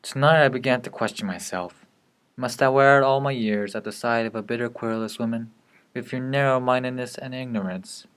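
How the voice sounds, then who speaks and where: A man playing a weary, bitter young husband in a brooding, deliberate dramatic monologue. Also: mid-range voice, fairly bright tone, clean tone, close to an everyday voice. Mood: bitter resentment.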